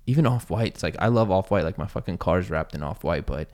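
Man, thirties, very low voice, casual rambling chat, heavy fillers, swearing. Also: lively intonation, fast talker, a little mellow. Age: 30s. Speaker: sneaker YouTuber.

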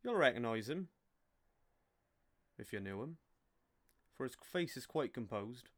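An actor playing a deferential, plain-spoken Victorian engine driver, shaken, in a radio play. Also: male voice, medium pitch, expressive, measured pace, a bit bright. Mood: sombre. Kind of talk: dramatic radio play acting.

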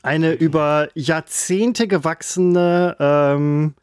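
A man's voice: fairly high pitch, fairly even intonation, drawn-out words, clean tone, no echo. Bantering man with a dry, close voice. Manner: bantering, emphatic, playfully boastful. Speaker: podcast co-host. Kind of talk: casual chat.

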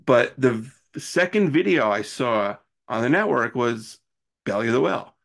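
A man's voice: medium pitch, animated, normal speed, vocal fry, a bit bright. A man in his forties hosting a casual interview in an enthusiastic, fannish way. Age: 40s. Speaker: interviewer and host.

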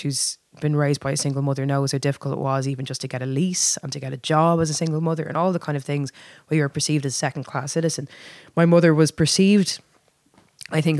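A woman's voice: sits low, a little mellow, no echo. Irish woman in a dry, close recording. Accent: north Dublin Irish. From Dublin, Ireland. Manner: candid, hesitant phrasing with repeated words. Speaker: band singer being interviewed. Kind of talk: casual reflective interview chat.

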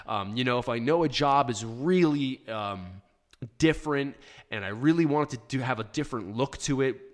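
A man with a hoarse, worn voice talks straight into a studio microphone, hosting a casual live show. He answers questions in a loose, plainspoken way, with plenty of ums and restarts. His pitch sits in an ordinary middle range for a man. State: hoarse from shouting. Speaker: podcast host answering listener questions. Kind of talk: casual live podcast chat. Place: small home studio.

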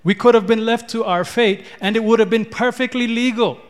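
High-voiced preacher in a big echoing hall. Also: male voice, steady tone, fairly quick. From Ethiopia. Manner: repeats words, builds in rising declarations. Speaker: pastor. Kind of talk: sermon. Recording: long hall reverb.

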